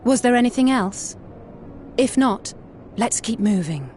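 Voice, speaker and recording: Game role, self-assured, good-hearted heroine: slightly high female voice, composed and direct, a little noise behind. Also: expressive, measured pace, a little mellow. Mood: calm conviction. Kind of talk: video game cutscene acting.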